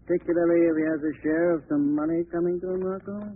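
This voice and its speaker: An actor playing a shifty, scheming crooked lawyer, sly and insinuating, in 1940s radio drama style. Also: male voice, on the high side, fairly even intonation, fast talker, husky. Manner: sly, insinuating, hesitant under pressure. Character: shifty, scheming male crooked lawyer, smooth until cornered. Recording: tinny old radio with faint hiss.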